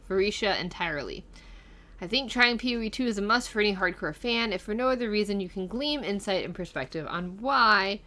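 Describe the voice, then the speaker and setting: A woman's voice: mid-range voice, pushed and bright. A middle-aged woman hosting casually, reading comments aloud and musing over them in a conversational way. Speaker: guest host of a gaming channel reading viewer comments.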